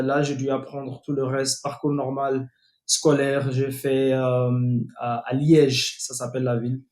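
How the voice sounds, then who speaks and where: A man's voice: medium pitch, darkish tone. A young man from Guinea, a podcast guest telling his life story in casual talk, with many fillers and restarts. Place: home in Atlanta. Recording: echoey room over a remote call.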